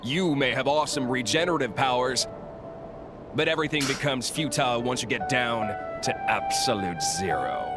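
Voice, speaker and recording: An actor voicing a mocking, theatrical male game villain who taunts in a drawn-out way. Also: medium pitch, lively intonation, loud music behind the voice, lightly acted. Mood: taunting triumph. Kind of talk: video game voice acting.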